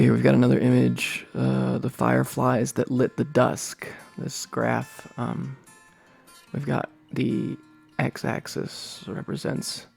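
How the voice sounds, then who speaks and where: A man with a gravelly, mid-pitched voice reads a humorous article aloud. His delivery is halting and rambling, mixing recitation with off-the-cuff remarks. Faint guitar music plays behind him. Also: darkish tone. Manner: hesitant, rambling, frequently clears throat. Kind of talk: reading aloud with casual off-the-cuff commentary.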